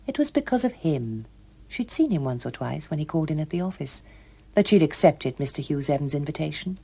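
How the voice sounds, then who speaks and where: A British woman reads literary fiction as an audiobook narrator. Her voice is slightly low and a little husky, with a very lively, expressive rise and fall. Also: a little fast.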